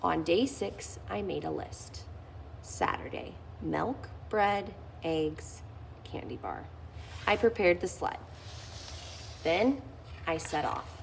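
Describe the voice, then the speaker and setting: A woman's voice: lowish voice, animated, takes it slowly, creaky, long pauses, slight background noise, poor audio. A woman reading a children's picture book aloud, lifting her voice expressively on key phrases. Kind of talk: read-aloud storytelling.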